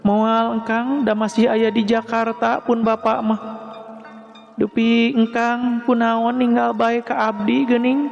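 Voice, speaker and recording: A man voicing a pretty young woman, coy, polite and soft-spoken, with a Sundanese accent, in a told story. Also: very high voice, little pitch movement, takes it slowly, vocal fry, lo-fi sound, voice pushed up, exaggerated voice. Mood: coy, teasing. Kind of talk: acted dialogue in storytelling. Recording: long reverb, music behind.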